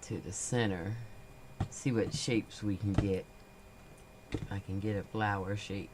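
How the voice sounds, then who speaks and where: A woman hosting a relaxed crafting livestream, talking through each step as she works, her voice a little nasal and stuffy from a cold. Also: rumbling low, measured pace, background noise. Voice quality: slightly nasal.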